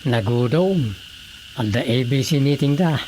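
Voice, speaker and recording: A man's voice: medium pitch, lively intonation, unhurried, warm tone, slight background noise, close to an everyday voice. A man playing a friendly, eager village brother-in-law in an Ilocano radio comedy drama, with an Ilocano accent.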